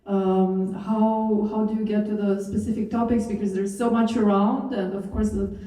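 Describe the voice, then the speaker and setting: A woman moderates a panel and poses her question in a hesitant, halting way. Her voice is flat in pitch and mellow. It rings through a very echoey room from a distant microphone. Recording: very echoey room, mic far off.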